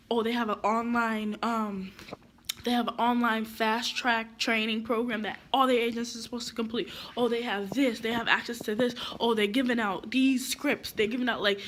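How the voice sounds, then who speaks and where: A woman's voice: mid-range voice, fairly bright tone, slight background noise. A young woman giving casual real estate advice to camera, her voice stuffy from being sick, chatty and rambling with lots of fillers.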